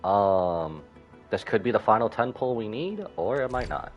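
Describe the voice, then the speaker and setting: A man's voice: fairly low pitch, expressive, normal speed, highs cut off, faint music behind. A man doing casual live-stream game commentary, rambling and thinking aloud as he goes.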